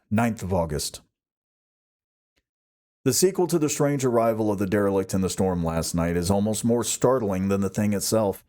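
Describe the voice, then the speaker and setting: A man narrates a classic novel as an audiobook reader. His voice sits fairly low, with a dark, mellow, relaxed tone.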